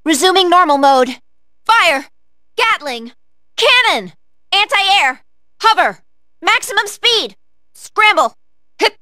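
A woman's voice: very high voice, very animated, slow, pauses often, bright, forceful tone, no room sound, clean studio sound, full character voice. A woman playing an earnest, polite young female android fighter in a video game, calling out clipped, formal battle commands. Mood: resolute, then battle-focused. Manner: clipped, formal machine-like status callouts.

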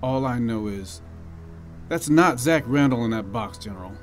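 A man's voice: mid-range voice, expressive, moderate pace, faint music behind, lightly acted. A man acting a guarded, respectful army lieutenant in an audio drama. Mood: guarded, insistent.

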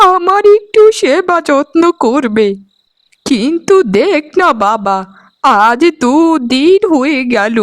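A woman's voice: sits high, no echo, clean studio sound. A woman playing a bitter, self-pitying, ailing old mother-in-law in a melodramatic cartoon, drawing out her words.

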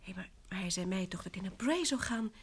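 A woman's voice: mid-range voice, animated, a little fast, slightly rough. An actress playing an anxious, insistent well-to-do lady in an old Dutch radio play, genteel and earnest.

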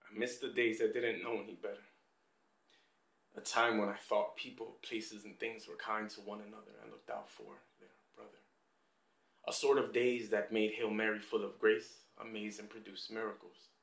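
A man performing a troubled figure confessing a painful past in an emotive, poetic film monologue with pauses and hushed drops. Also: lowish voice, creaky. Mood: wistful, frustrated. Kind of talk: dramatic film monologue with rhymed spoken-word poetry. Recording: roomy, echoing amateur film sound.

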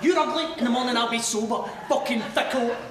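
A man playing a brash, taunting Scottish football supporter, swearing loudly in heated comic banter. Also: extremely high pitch, fast talker, crowd noise. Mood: mocking. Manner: loud, sweary, mocking banter. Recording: echoey, distant, noisy room recording.

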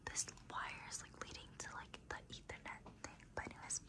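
A young woman losing her voice, whispering raspily in a casual, rambling ASMR desk tour, with lots of fillers. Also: fairly quick. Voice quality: raspy. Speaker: ASMR creator.

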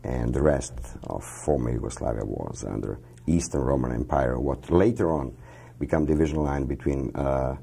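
A man in his fifties from Croatia, answering interview questions in a studio on a lapel mic, halting and measured, with many fillers. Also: extremely low pitch, creaky, warm tone, husky. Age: 50s. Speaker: economic minister, interview guest. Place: dark television studio.

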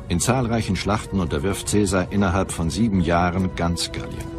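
A man with a very deep voice narrates a history documentary. He is serious and measured, telling events dramatically over a clear music bed. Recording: music behind the voice.

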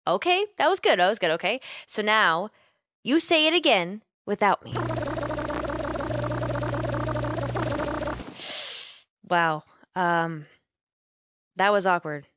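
A woman playing a caring, playful young female roommate who gently coaxes and teases her friend in an intimate ASMR roleplay. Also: medium pitch, lively intonation, measured pace, noisy background, lightly acted. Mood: affectionate, coaxing. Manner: playful, gently coaxing, giggly, hesitant. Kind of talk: ASMR roleplay, intimate one-sided conversation.

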